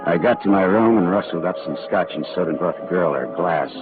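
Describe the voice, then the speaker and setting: A man's voice: sits low, fairly quick, natural voice. An actor playing a tough, wisecracking male private detective who also narrates, in a 1940s radio drama. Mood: narrating. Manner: hard-boiled, wry, clipped. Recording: tinny old radio broadcast with faint music.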